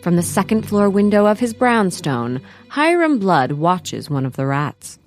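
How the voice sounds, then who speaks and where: A woman plays a storybook narrator in a comedy audio drama. She is arch and theatrical, with very expressive pitch, and music plays clearly behind her. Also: a little slow. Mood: dramatic. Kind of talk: scripted comic storytelling narration.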